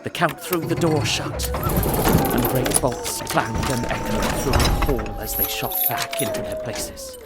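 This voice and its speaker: An actor playing a fearful, earnest young Englishman, narrating his journal in a dramatic audio drama. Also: mid-range voice. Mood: defeated dread.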